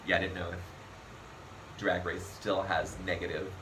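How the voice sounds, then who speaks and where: A man's voice: sits low, little pitch movement, moderate pace. A man hosting a casual recap chat, talking loosely with lots of ums and frequent laughs. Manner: chatty, laughs often, trails off with ums. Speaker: podcast host recapping a TV show. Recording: noisy room recording.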